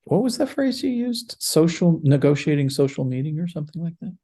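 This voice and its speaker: A man's voice: a little high, big pitch swings, a little fast. A middle-aged man co-hosting a casual podcast, rambling with frequent fillers and laughs. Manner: rambling, warm, laughs between words. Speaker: podcast co-host.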